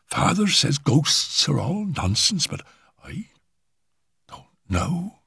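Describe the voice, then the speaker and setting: Man doing nervous young woman, storybook, raised voice, hurried and halting, husky, lively. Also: on the high side, no room sound, studio quality, voice pushed up, exaggerated voice. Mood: frightened. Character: frightened young woman, anxious and sensitive.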